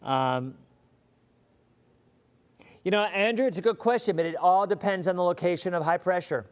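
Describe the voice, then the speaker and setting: Hoarse male weather host, slightly high voice, loose and repetitive live-stream chatter. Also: moderate pace. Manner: chatty, often repeats words while thinking. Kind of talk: live-stream chat answering viewer questions.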